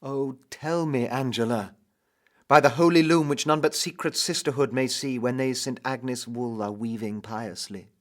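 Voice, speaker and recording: A man's voice: mid-range voice, fairly even intonation, normal speed, pitched above the performer's own voice, lightly acted. A man playing an ardent, lovestruck young knight with impassioned archaic speech in a dramatic audio poem. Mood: pleading. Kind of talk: dramatic poetry recital.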